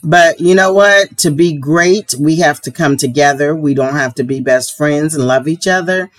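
A woman from the United States hosting her own podcast, a little hoarse from a sore throat, talking earnestly and with strong opinions. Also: sits low, normal speed. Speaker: podcast host. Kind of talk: casual solo podcast talk.

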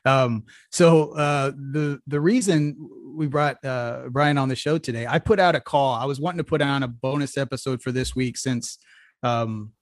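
A man's voice: medium pitch, normal speed, dry recording, clean studio sound. A man hosting a podcast who talks casually and rambles, with many fillers and restarts. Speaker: podcast host. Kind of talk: casual interview.

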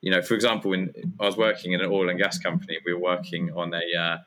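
Guest, male, thirties, very low voice, loose and hesitant delivery. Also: flat delivery, fast talker, fairly bright tone. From Germany. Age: 30s. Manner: rambling, hesitant with many fillers. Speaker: guest, community founder. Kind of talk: casual interview chat.

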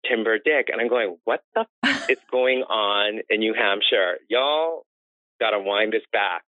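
A man's voice: lowish voice, very animated, a little slow, dry recording. A man being interviewed about his life and work as an interior designer, telling stories at length and laughing often. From United States. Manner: chatty storyteller who laughs often. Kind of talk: conversational interview. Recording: tinny phone-like line, dry.

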